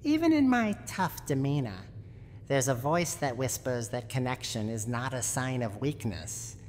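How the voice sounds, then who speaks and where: A woman's voice: a bass voice, expressive, darkish tone. A woman voicing a tough, guarded granny who is secretly lonely and tender, in a wistful, teasing roleplay ASMR monologue. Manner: wistful, teasing bravado, slow dramatic delivery. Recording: noisy, long reverberant tail.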